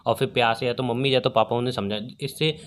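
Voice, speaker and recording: A man's voice: mid-range voice, steady tone, fast talker, slight background noise. A young man chatting casually on a recorded phone call, teasing and questioning his partner. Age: young adult. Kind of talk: casual couple's chat.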